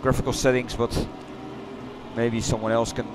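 A man's voice: mid-range voice, flat delivery, noisy background. Dutch-accented man talking over a race replay. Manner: halting, rambling, self-correcting. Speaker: sim racing streamer. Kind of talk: casual replay commentary. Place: home gaming room.

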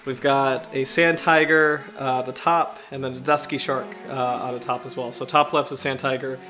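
A man in his thirties from the US Chesapeake Bay region, hosting a casual livestream in a halting, self-deprecating way, his throat slightly raspy from allergies. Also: mid-range voice, level tone, over music. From United States, Chesapeake Bay region. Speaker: livestream host.

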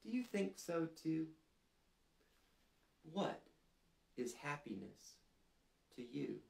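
A man in his thirties reading a picture book aloud to young children in a gentle, deliberate way, putting simple questions to them. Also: fairly high pitch, sing-song, vocal fry. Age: 30s. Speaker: storytime reader for children. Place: small indoor living room, seated. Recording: roomy echo.

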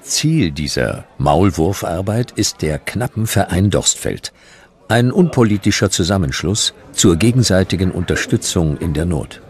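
A man reading scripted history narration as a documentary narrator, in measured, dramatic short sentences. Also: sits low, expressive, a faint crowd.

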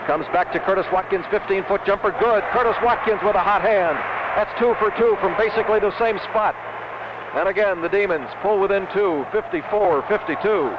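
A man calling live basketball play-by-play for radio in clipped, urgent phrases. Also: sits high. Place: basketball arena. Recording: muffled, noisy old radio broadcast.

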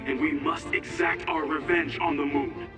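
A man's voice: on the high side, level tone, moderate pace, natural voice. An actor playing a stern, vengeful male officer in an anime game, solemn and declamatory. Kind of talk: English-dubbed anime game acting. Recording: distant playback under loud music.

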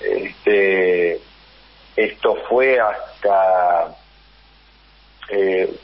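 A man's voice: sits low, extremely slow. A man in his forties with an Argentine accent, a guest telling his family story at home, hesitant and full of fillers and repeated yeses. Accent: Argentine Spanish. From Argentina, Paraná, Entre Ríos. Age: 40s. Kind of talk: phone interview, personal story. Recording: dull lo-fi remote line.

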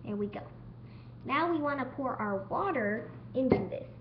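Woman, amateur cooking-show host, unscripted and a little halting, recorded on a camera mic with a dull, noisy sound. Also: sits high, lively intonation. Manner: unscripted, stumbling over words now and then. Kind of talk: homemade cooking demonstration. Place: home kitchen. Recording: dull lo-fi home video with noise.

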